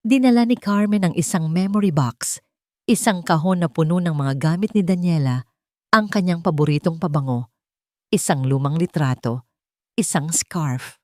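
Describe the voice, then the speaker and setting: A woman narrating a scripted sentimental drama story in a measured, dramatic storytelling manner. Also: lowish voice, mellow, no room sound, clean studio sound.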